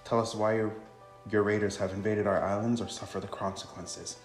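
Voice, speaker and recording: A man plays a grave, brooding male protector from a war-torn game story. The voice is low and formal, with room echo around it. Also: flat delivery, a little fast, soft background music, close to an everyday voice. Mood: stern.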